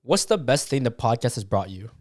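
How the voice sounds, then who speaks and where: Young guy, mid-pitched, upbeat podcast host. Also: brisk, no room sound, studio quality. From Canada. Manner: energetic storyteller, swears, says "dude" and "bro". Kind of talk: casual chat.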